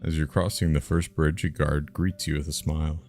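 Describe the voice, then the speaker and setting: A man's voice: extremely low pitch, little pitch movement, normal speed, darkish tone. A man reading a fantasy game's narration aloud on a live stream, mixed with casual banter.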